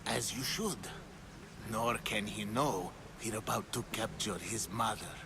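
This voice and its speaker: An actor playing a secretive, coldly cautious cult conspirator in a video game, speaking in a measured and guarded manner. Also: male voice, fairly low pitch, background noise. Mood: conspiratorial. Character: scheming, secretive male cultist, coldly cautious. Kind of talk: dramatic video game acting.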